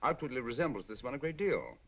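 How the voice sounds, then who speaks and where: An actor with a British accent playing a sharp, inquisitive male detective, asking crisp, probing questions in 1940s film style. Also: mid-range voice, sing-song, fast. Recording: dull old film soundtrack.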